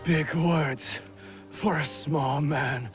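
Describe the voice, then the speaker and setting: An actor playing a menacing, scornful warlord thug in a dramatic video game. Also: male voice, fairly high pitch, fairly even intonation, extremely slow, soft background music. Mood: contemptuous, menacing.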